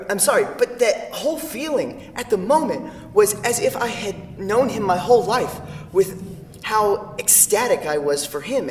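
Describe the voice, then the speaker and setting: A man acting an earnest young man, echoey stage. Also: sits high. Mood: earnest, wry. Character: earnest, wry, awkward young man, passionate storyteller. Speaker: actor in a staged play reading. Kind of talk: staged reading of a comedic two-person play. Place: theatre stage, picked up from a distance. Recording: echoey theatre hall, distant microphone.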